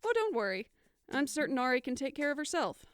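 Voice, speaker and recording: A woman playing a gentle, reassuring adventurer in a fantasy audio drama. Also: high-pitched, sing-song, fast talker.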